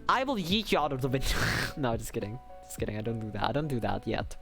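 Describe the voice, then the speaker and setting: A man's voice: medium pitch, big pitch swings, quick pace, gravelly, a bit bright. Playful young man streaming, music behind. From Czech Republic. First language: Czech. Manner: playful, slangy, rambling with repeated phrases. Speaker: livestreamer, VTuber. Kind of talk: casual chat with stream viewers.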